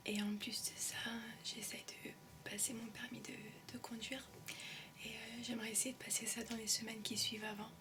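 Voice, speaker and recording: A woman's voice: on the high side, flat delivery, normal speed, distant mic, background noise. A young woman with an English-tinged French accent rambling in an ASMR whisper, hesitant and full of ums, in shared student housing. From raised in English-speaking countries. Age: young adult. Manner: soft whisper, hesitant with many ums and euhs. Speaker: ASMR creator.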